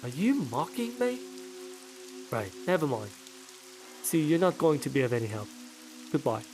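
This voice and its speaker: A man's voice: mid-range voice, big pitch swings, moderate pace, over music. A man voicing a blunt, inquisitive young woman witcher in a game. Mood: annoyed. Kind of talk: voicing game dialogue read from screen.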